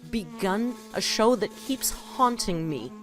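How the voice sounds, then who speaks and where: A woman's voice: mid-range voice, expressive, slow, over music. A woman voicing a bitter, wounded female poet reciting melodramatic spoken-word verse with heavy dramatic emphasis. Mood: wounded, defiant.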